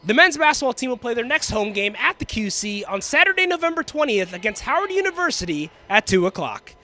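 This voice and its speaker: A man's voice: very high-pitched, very animated, fairly quick, bright, forceful tone. A young American man delivering sports news and commentary with an emphatic broadcast delivery. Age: young adult. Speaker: student sports anchor, reporter and play-by-play commentator.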